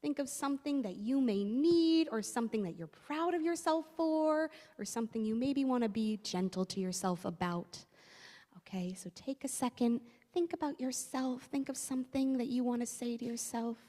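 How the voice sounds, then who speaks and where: A woman's voice: on the high side, normal speed, mellow. A woman leading a playful children's segment in a church service, speaking in an upbeat, friendly way. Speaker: children and youth program leader. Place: church sanctuary. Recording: long church reverb.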